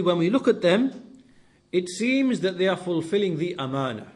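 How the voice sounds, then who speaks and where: A man teaching scripture in a sermon-like lesson, speaking in short deliberate phrases and repeating key words for emphasis. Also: on the high side. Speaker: religious teacher.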